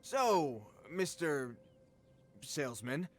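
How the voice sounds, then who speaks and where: An actor playing a rough street bandit who talks in slangy, colloquial phrases for a video game. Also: male voice, a little high, very animated, very slow, soft background music, full character voice. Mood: nervously deferential. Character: cocky, rough street bandit, easily cowed.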